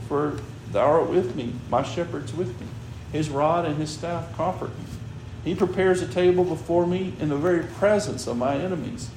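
A man's voice: medium pitch, vocal fry. A man preaching a sermon in a conversational way, often checking in with "all right?" and "you know". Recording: echoey room with background noise.

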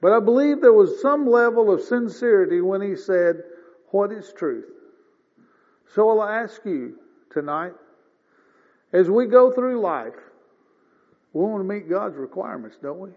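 A man in his fifties preaching a sermon in a folksy, conversational way, often clearing his throat. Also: high-pitched, expressive, measured pace, pauses often. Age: 50s. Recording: dull lo-fi sound with long reverb.

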